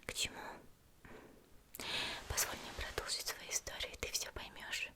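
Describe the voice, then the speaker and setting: A woman acting as a young female demon slayer healer speaks in a soft, airy whisper with a very bright, crisp edge. She is gentle and composed, with a soothing, politely teasing manner. It is a close-miked anime ASMR roleplay, unhurried and intimate. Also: close to an everyday voice. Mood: calm, reassuring. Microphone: close ASMR microphone.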